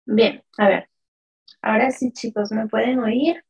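A woman teaching a live online lesson with a gravelly, slightly husky voice. She speaks very slowly, stretching out words in pronunciation drills and asking the chat questions before praising the answers. Her tone is dark and mellow. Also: a little high, fairly even intonation, rough recording. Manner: slow, drawn-out pronunciation drills. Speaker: French teacher.

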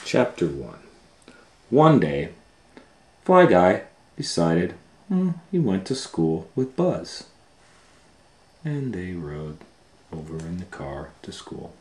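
Very deep, unhurried man reading a storybook. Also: big pitch swings. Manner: drawn-out emphatic phrasing with asides. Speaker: storytime host and reader. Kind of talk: animated children's storybook read-aloud. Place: indoor room, reading a book on a table. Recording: roomy, echoey indoor sound.

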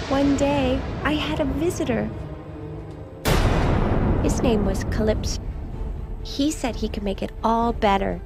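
A timid, self-blaming young woman with a high, little-girl voice. She speaks slowly in dramatic game cutscene narration, over loud music. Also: gravelly, heavily acted. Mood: wistful. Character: timid, childlike, guilt-ridden young woman locked in doll mask.

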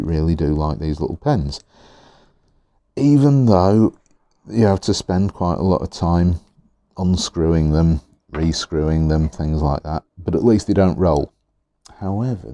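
A man reviewing fountain pens in an easygoing, chatty way, a little hoarse, with fillers and repeated words. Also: deep voice, dark tone, dry recording. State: slightly hoarse. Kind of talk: relaxed product review. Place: indoors at a desk. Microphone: overhead camera on the hands, speaker not in frame.

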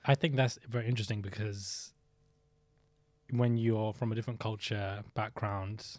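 A man hosting a podcast, asking relaxed and empathetic questions. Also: fairly low pitch, normal speed, no echo. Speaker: podcast host. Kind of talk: casual podcast interview. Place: small studio with sofa and purple lighting. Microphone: studio boom mic close up.

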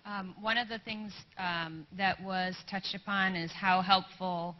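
A woman in the audience giving a halting comment with many fillers. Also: medium pitch, fairly even intonation. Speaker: audience member. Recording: muffled hall audio with faint noise.

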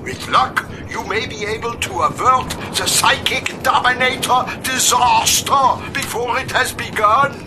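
Slow-talking eccentric old scientist. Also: male voice, very high voice, over music, rough recording. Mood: earnest. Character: eccentric old male scientist showing his invention. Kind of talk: game cutscene acting.

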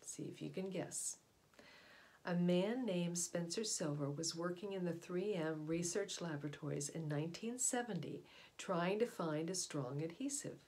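Middle-aged American woman, slightly low-pitched, for an educational read-aloud; storyteller delivery that speaks straight to young listeners. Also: normal speed, darkish tone. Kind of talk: reading aloud to children with explanatory asides. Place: indoor room at home.

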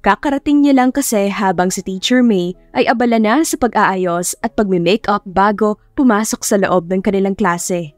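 A woman's voice: mid-range voice, normal speed, dry recording. A woman reading scripted story narration as an audiobook narrator. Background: music.

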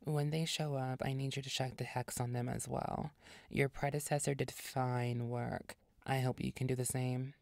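Woman playing a very low, imperious vampire woman. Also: little pitch movement, vocal fry, a little mellow, dry recording, studio quality. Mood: cool, commanding. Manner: commanding, condescending, deliberate. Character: imperious vampire woman boss, cool and commanding. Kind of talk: audio roleplay acting.

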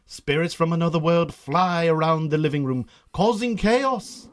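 Higher-pitched Northern Irish male storyteller. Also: normal speed. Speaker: narrator. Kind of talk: scripted radio drama narration.

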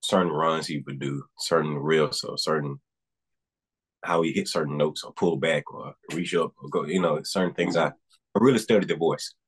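A young man speaks as a guest on a video call from a room at home. His voice is very low with a husky grain, and he talks earnestly in loose, rambling sentences. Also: steady tone, normal speed. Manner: earnest, rambling with restarts and fillers. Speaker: guest singer. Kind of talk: casual interview. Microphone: video call webcam.

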